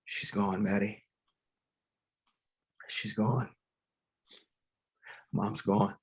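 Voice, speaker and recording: A grief-stricken man, acted by a man in a table read. He speaks extremely slowly and haltingly in a low, husky voice, subdued. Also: steady tone, full character voice. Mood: grieving. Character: grief-stricken man breaking bad news by phone.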